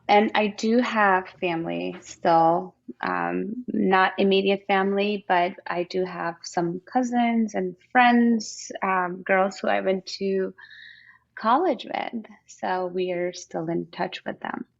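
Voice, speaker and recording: Woman, thirties, creaky fry, informal and explanatory, telling her own story, dull audio. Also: medium pitch, steady tone, slow. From Afghanistan and Pakistan. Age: 30s. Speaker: interview guest. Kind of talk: conversational personal account.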